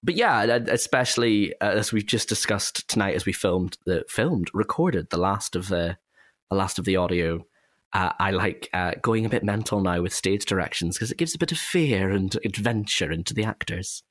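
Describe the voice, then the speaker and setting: Man, lowish voice, chatty, self-deprecating, casual discussion host, dry close sound. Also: expressive, normal speed, fairly bright tone, no echo. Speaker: director and actor hosting a cast discussion. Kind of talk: casual behind-the-scenes chat.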